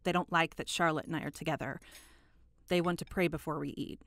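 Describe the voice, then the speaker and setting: An actress playing an uneasy, brooding woman who haltingly records her account in a horror drama, with a husky, gravelly voice. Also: low-pitched, steady tone, fairly bright tone, no room sound. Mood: hushed, brooding. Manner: halting, brooding, breaking into whispers.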